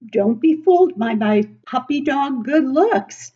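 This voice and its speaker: Woman, 60s, teacher reading to little kids. Unhurried, exaggerated, swooping delivery, slightly muffled home webcam recording. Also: high-pitched. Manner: expressive, exaggerated, asks listeners questions. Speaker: teacher reading a picture book to children. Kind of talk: animated read-aloud for young children. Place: living room at home, indoors.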